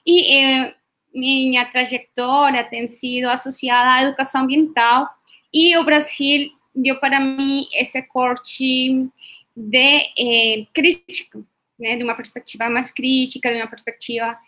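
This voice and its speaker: Non-native Colombian woman, workshop facilitator on a call; high and bright, hesitant. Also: steady tone, unhurried. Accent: Colombian Spanish. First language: Spanish. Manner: hesitant, frequent fillers and tag questions. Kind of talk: online workshop talk. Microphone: video call.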